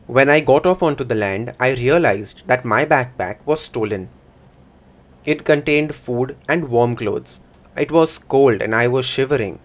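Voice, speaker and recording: A man speaking a first-person voice-over interpretation at a middle pitch. His delivery is plain, even and measured, and he tells the account in short statements.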